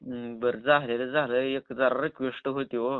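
A man's voice: medium pitch, flat delivery, normal speed, clean tone, dry recording, close to an everyday voice. A man with a rural Maharashtrian Marathi accent playing an argumentative, rambling drunk pestering a woman in a prank phone call. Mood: wheedling. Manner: rambling, stubborn, cheeky. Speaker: prank caller. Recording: narrow tinny phone-call recording.

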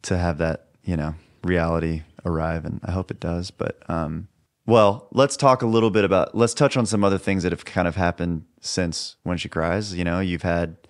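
Deep-voiced young man hosting a podcast. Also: moderate pace, dry recording, clean studio sound. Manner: relaxed, encouraging, short interjections. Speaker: podcast host. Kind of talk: casual interview. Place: podcast studio. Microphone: headphones and boom mic.

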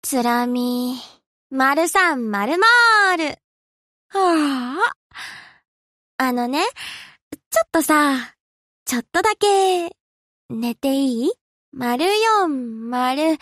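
High-pitched, easygoing anime navy girl. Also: fairly bright tone, no room sound, studio quality, full character voice. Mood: sleepy, weary. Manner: casual slangy girlish speech. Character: easygoing young navy destroyer girl, slangy, dutiful but sleepy. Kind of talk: Japanese anime game character voice lines.

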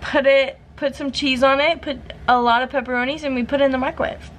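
A woman's voice: high voice, steady tone, fast talker, a bit bright, slight background noise. Chatty young woman vlogger. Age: young adult. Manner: chatty, upbeat, lots of "like" and "um". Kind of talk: casual chat to camera. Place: hotel room, then parked car.

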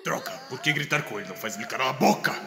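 A man's voice: mid-range voice, lively intonation, rapid-fire, background noise, close to an everyday voice. A man with a Brazilian accent playing an arrogant, short-tempered alien villain in a comedic anime parody dub, sarcastic and blustering. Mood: irritated, smug. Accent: Brazilian Portuguese.

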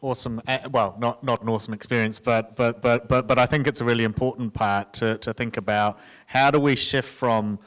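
A man moderating a panel on an event stage, asking long questions with halting restarts into a handheld microphone. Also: medium pitch, fairly even intonation, a bit bright. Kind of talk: panel discussion. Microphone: handheld mic.

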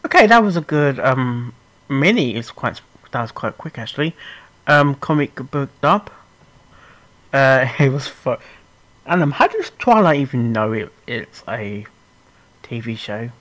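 A reaction host giving casual commentary in a halting, repetitive way. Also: mid-range voice, lively intonation, a bit bright. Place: indoors, room with full bookshelves.

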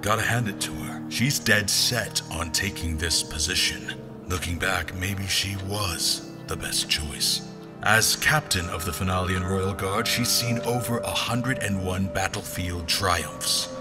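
A wry, self-doubting soldier acted by a man tells his story in a low, measured voice. It is dry audio drama narration, with music clearly running underneath. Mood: wry, reflective.

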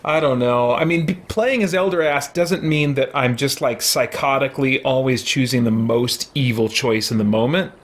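A man's voice: mid-range voice, moderate pace, hardly any pauses. A middle-aged man giving casual live gameplay commentary as a streamer, thinking aloud. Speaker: streamer, gaming host. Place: small indoor room with shelves.

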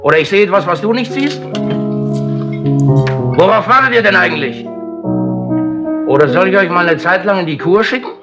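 An actor playing a domineering chief inspector, mocking and condescending, in a 1960s crime radio play. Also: male voice, sits high, expressive, moderate pace, vocal fry, pauses often, over music. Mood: stern, sardonic.

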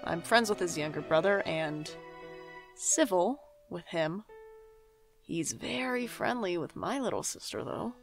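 A woman's voice: on the low side, animated, a little slow, faint music behind. A woman playing a sardonic young night-shift worker in an audio drama, with a dry, casual delivery. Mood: wry narration. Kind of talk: audio drama acting and first-person narration.

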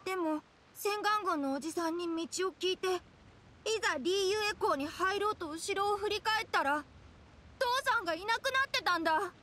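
Casting: earnest little boy for anime game, very high bright voice, music faintly behind. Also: moderate pace, a little hiss or hum. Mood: worried. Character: earnest young boy worried about his missing father. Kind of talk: Japanese anime game voice acting.

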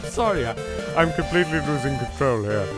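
A man's voice: mid-range voice, expressive, fairly quick. A British man giving playful gameplay commentary with bemused, exclamatory reactions. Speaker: let's play commentator. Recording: music behind the voice. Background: game music.

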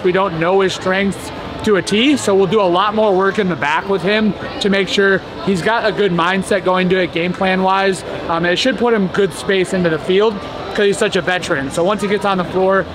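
Male coach, 30s, steady explainer, higher voice. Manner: steady, explanatory, some fillers. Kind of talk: casual behind-the-scenes update. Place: large indoor competition venue. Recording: noisy arena background.

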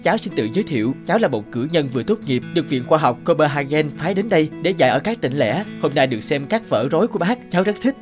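A polite young graduate in an animated fairy tale, voiced by a man. He is eager and admiring, with very varied intonation, over prominent guitar music. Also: fairly high pitch, fast talker, hardly any pauses, lightly acted. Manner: earnest, admiring. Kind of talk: cartoon fairy-tale acting.

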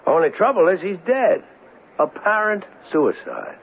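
A man acting a blunt, suspicious police sergeant in a 1970s radio drama, with gruff, clipped, informal talk. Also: high-pitched, wildly swooping pitch, a little slow, exaggerated voice. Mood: skeptical. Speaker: actor in a radio mystery drama. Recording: muffled, band-limited, noisy old radio recording.

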